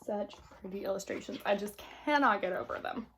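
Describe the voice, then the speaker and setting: A woman reading a children's picture book aloud in an expressive, gentle, unhurried way, with casual asides to young listeners. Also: medium pitch, slight background noise. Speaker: storybook reader.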